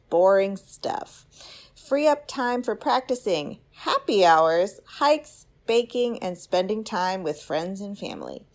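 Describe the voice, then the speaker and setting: A woman's voice: mid-range voice, a little mellow. Woman reading a sponsor ad. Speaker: ad reader. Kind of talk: scripted sponsor read.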